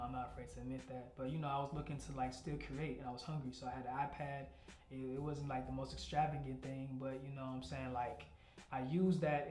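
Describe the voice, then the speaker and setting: A man's voice: on the high side, reverberant. A young man from Nigeria, a rap artist, chatting casually and laid-back in an interview, often saying "you know what I'm saying.".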